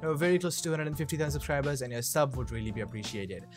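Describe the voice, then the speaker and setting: A man narrating a software tutorial in an upbeat, salesy way as a video presenter. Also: mid-range voice, animated, rapid-fire, soft background music.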